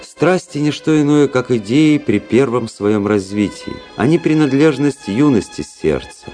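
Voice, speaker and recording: An actor playing a proud, cynical, world-weary young aristocratic officer in a Russian radio drama, speaking in a measured, rhetorical way. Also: male voice, medium pitch, animated, dark tone, soft background music, close to an everyday voice. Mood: coolly reflective.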